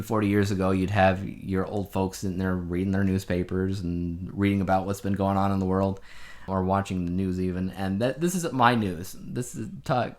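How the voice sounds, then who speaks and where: A man co-hosting a casual podcast, talking in a rambling, anecdotal way. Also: low-pitched. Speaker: podcast co-host. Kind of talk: casual conversational podcast chat.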